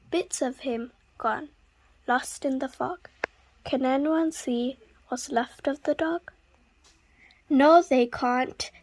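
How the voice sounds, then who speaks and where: A woman reading a children's story aloud expressively, with deliberate, dramatic emphasis on repeated words. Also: sits high. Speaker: children's book reader.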